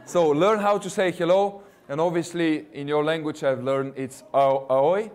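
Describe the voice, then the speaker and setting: A man's voice: on the high side, steady tone, moderate pace, a little mellow. A man in his thirties with a Russian accent, a university recruiter giving a jokey, chatty presentation to students and quizzing the audience. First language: not English.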